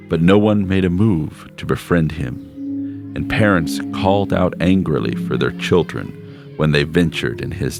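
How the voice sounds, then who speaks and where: A man reading a literary short story aloud in measured, unhurried scripted narration, with slowed phrases. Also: a bass voice, creaky. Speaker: story reader. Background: background score music.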